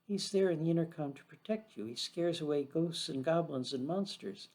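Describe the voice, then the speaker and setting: Storybook role: man as curious, knowing boy, earnest explainer, voice a little high. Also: normal speed, darkish tone, close to an everyday voice. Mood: assured, excited. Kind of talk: children's storybook read aloud.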